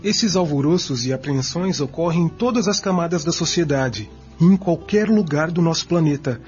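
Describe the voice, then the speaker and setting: A man's voice: a little high, animated, normal speed, a little mellow, soft background music, close to an everyday voice. A man with a Brazilian accent playing an assured, didactic spiritist in a radio drama, formal and emphatic. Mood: assured, instructive. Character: assured, didactic male spiritist group member. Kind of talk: amateur radio drama acting. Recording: dull lo-fi.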